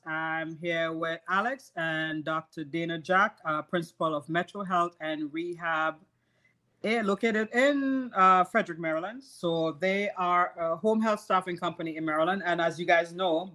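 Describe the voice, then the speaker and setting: A woman's voice: on the low side, fairly bright tone. A woman hosting a podcast, opening the episode in an upbeat, teasing way. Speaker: podcast host. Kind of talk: podcast host introduction.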